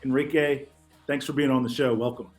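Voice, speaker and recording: A man's voice: medium pitch, fairly even intonation, a little fast, faint music behind. A man hosting a podcast, welcoming his guest and asking easygoing questions. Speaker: podcast host. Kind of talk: conversational interview.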